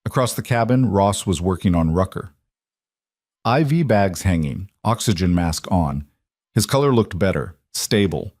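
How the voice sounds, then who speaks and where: A man narrating a scripted military drama story in a steady, measured way. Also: deep voice, no echo, studio quality.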